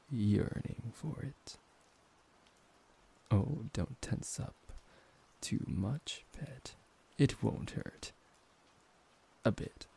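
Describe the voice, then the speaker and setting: A man playing a possessive, seductive vampire professor in an intimate ASMR roleplay, teasing and dominant, with a gravelly, husky voice. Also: on the low side, slight background noise, full character voice. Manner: slow, hushed, coaxing, condescendingly affectionate.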